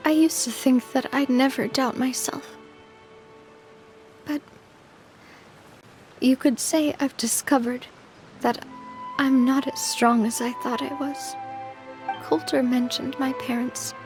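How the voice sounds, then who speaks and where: An actress playing a kind, resolute young woman who leads an organization, earnest and measured, in a dramatic video game. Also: high voice, darkish tone.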